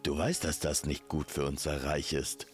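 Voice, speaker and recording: Man acting an angry, very deep-voiced king. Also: flat delivery, moderate pace, soft background music. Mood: indignant. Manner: indignant, commanding. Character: angry, blustering royal father and king.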